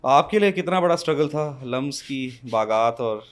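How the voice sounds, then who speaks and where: A man's voice: mid-range voice, animated, normal speed. A man with a Pakistani accent hosting a casual podcast interview, conversational and reflective, switching often between two languages. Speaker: podcast host. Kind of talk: casual podcast interview mixing Urdu and English.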